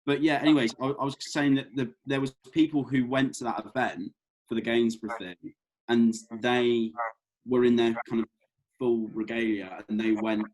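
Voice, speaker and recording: A man's voice: mid-range voice, fairly even intonation, moderate pace, darkish tone, low-quality recording. A young man chatting casually as a team member in a production meeting.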